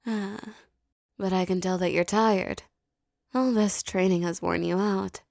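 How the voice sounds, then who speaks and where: Slow, gravelly-voiced commanding woman. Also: medium pitch, fairly even intonation, dry recording, clean studio sound. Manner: slow, soft, commanding and teasing. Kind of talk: scripted hypnosis roleplay audio.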